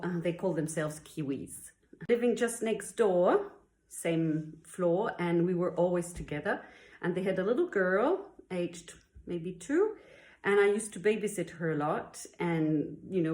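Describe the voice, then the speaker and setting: A woman's voice: lowish voice, a little mellow. A middle-aged woman from Belgium, a non-native English speaker, telling her story in a chatty, encouraging, casual way like a teacher on a vlog. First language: not English. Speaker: English teacher and video presenter. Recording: roomy, echoey indoor sound.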